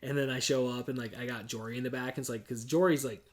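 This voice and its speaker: A man's voice: medium pitch, steady tone, fast talker. Swearing laid-back man in his forties. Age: 40s. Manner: laid-back, swears a lot, says "dude" constantly, laughs often. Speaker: podcast host. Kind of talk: casual chat.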